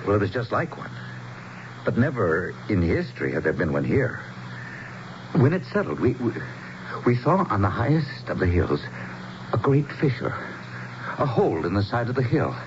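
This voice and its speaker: An actor playing a grave, courteous American small-town mayor in a radio drama, speaking in a measured, dramatic storytelling way. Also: male voice, fairly low pitch, sing-song. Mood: grave, haunted. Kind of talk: 1970s radio drama acting. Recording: dull, noisy old radio broadcast.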